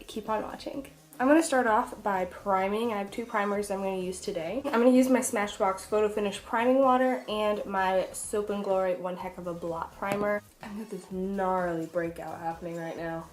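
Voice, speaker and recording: A woman's voice: medium pitch, fairly even intonation, normal speed. A young woman with a congested, nasal voice from a cold, chatting casually and self-deprecatingly through a makeup tutorial. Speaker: beauty vlogger, makeup artist. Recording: echoey, roomy sound.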